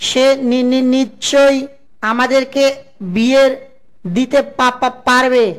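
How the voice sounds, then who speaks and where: A woman's voice: high-pitched, level tone, slow pace, clear, resonant tone. A woman voicing a scheming male cartoon fox, an eager suitor who stutters heavily on syllables. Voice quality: heavy stutter, repeating syllables. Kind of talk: cartoon voice acting.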